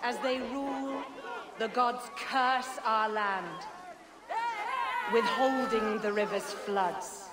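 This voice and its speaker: A woman's voice: on the high side, slow, over a noisy crowd, very noisy. An actress playing an imperious, cold young queen who styles herself a living goddess, speaking in a regal, grandiose way in a video game. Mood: grandly proclaiming. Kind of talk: dramatic video game acting.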